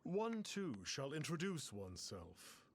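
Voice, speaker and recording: Casting: theatrical game immortal, grandiose and formal, slow with lively swoops, some background noise. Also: male voice, fairly high pitch, full character voice. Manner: pompous, stilted formal phrasing. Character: pompous self-proclaimed male immortal sage, theatrical and grandiose. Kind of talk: video game voice acting.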